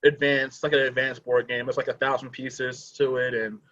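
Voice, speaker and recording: A man in his twenties chatting on a video call, enthusiastic about his hobbies and quick to laugh. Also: medium pitch, flat delivery, fairly bright tone, highs cut off. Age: 20s. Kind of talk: casual chat on a video call.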